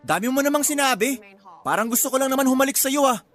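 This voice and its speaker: A man's voice: very high-pitched, fast, a bit bright, faint music behind. A man playing a sulky, amorous husband in a Filipino radio drama, complaining petulantly. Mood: sulky, defensive. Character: sulky, amorous working-class husband, easily brushed off.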